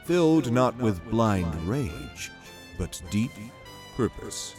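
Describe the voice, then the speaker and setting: A man's voice: medium pitch, sing-song, slow. A man giving scripted, storytelling narration for a cinematic audio drama. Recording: music bed under narration.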